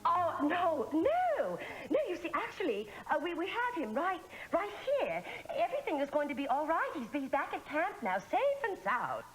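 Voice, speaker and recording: A woman's voice: sits high, huge pitch swings, moderate pace, hardly any pauses, a little hiss or hum, pitched above the performer's own voice, low-quality recording. An actress playing a woman who puts on a Swedish accent as a flustered camp caller on the phone, stammering and overeager. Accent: put-on Swedish.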